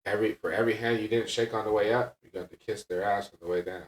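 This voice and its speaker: A man's voice: fairly low pitch, steady tone, quick pace. A man talking candidly and casually as a podcast host and coach, emphatic and blunt, often checking in with "right?". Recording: echoey room, distant from mic.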